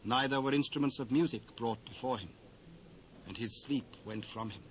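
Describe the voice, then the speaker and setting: A British man narrating scripture from a script in a formal, measured storytelling manner. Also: medium pitch, rough recording. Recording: old cassette with faint hiss.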